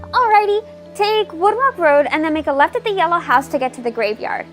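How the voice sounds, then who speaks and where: A woman's voice: extremely high pitch, lively intonation, brisk, faint music behind. A woman playing a friendly, helpful young townswoman in a fantasy audio drama, with a casual, chatty manner.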